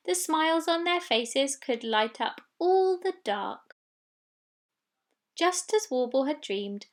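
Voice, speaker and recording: Slow, high-voiced woman storyteller. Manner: dramatic, with sound words. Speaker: story reader. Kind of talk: expressive children's storybook narration.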